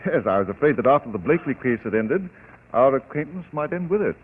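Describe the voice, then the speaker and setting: An actor playing a scheming fake doctor in an old radio drama, suave and coldly polite. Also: male voice, mid-range voice, sing-song, fast talker, a little hiss or hum, close to an everyday voice. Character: scheming fake doctor, smooth then menacing villain. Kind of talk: 1940s radio acting. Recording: tinny old radio broadcast.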